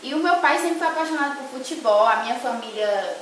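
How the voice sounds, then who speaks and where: A woman's voice: high-pitched, expressive, fairly quick. A young woman with a northeastern Brazilian accent giving conversational interview answers as a guest, often tagging phrases with a short checking question. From Ceará, northeastern Brazil. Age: young adult. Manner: frequently tags phrases with a checking "right?". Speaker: interview guest, sports commentator and journalism student. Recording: very echoey room, distant mic, faint hiss.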